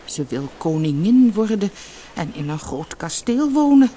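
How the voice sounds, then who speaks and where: A woman's voice: mid-range voice, sing-song, a little slow, warm tone, slight background noise. Woman acting a timid, pleading old fisherman. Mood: downcast. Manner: pleading, trembling. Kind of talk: fairy-tale audiobook character voice.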